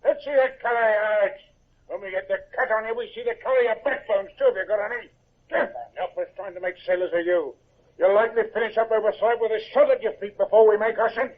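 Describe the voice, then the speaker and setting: A coarse British working-class seaman from a vintage radio play. The voice is high for a man and raspy, that of a rough lower-deck hand under a captain. It comes through a muffled, very thin-band old recording. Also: fast. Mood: bullying. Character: rough, bullying but deferential naval underling. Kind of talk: old-time radio drama acting.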